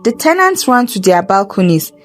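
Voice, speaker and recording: A woman's voice: mid-range voice, sing-song, normal speed, soft background music. A woman with a Nigerian accent telling a folktale as a dramatic storyteller. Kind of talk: dramatic folktale narration with character voices.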